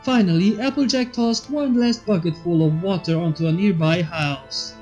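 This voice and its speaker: A woman's voice: on the low side, moderate pace, a little mellow. A woman reading fan fiction aloud as a scripted story narrator. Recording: slightly muffled, faint music behind. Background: background music.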